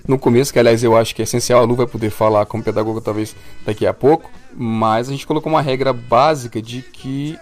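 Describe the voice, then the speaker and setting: A man's voice: mid-range voice, steady tone, fast, hardly any pauses, soft background music. A Brazilian man co-hosting a casual podcast, explaining things at length with a few restarts and corrections. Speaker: podcast co-host.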